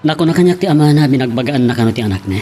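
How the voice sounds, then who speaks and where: A man's voice: mid-range voice, lively intonation, rapid-fire, warm tone, a little hiss or hum, lightly acted. A man acting a troubled, resentful young husband with an Ilocano Filipino accent in an audio drama.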